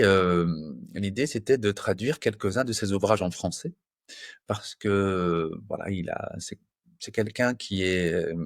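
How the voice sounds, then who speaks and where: A man in his fifties from France, a translator answering interview questions in a patient, measured way with many pauses. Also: lowish voice, no room sound, studio quality. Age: 50s. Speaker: guest, translator.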